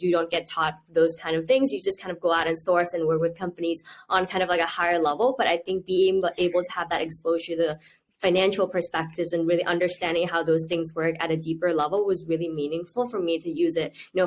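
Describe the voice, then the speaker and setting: A woman in her twenties, originally from Thailand, answers interview questions in an earnest, easygoing way. Her voice sits a bit low for a woman, and her speech is full of "you know" and "like". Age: 20s. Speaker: interviewee, university student. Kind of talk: casual video-call interview.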